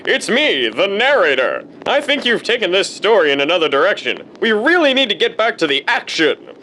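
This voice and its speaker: A man's voice: sits high, sing-song, fairly quick, bright, forceful tone, a little hiss or hum, exaggerated voice. An actor playing a self-pitying male narrator of a kids' show, prissy and indignant. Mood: polite.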